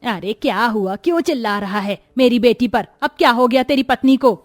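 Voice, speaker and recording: A woman's voice: on the high side, fairly quick, no echo, clean studio sound, lightly acted. Woman acting a bossy, scolding older mother-in-law. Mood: indignant. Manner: curt, scolding. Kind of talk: acted Hindi cartoon moral story.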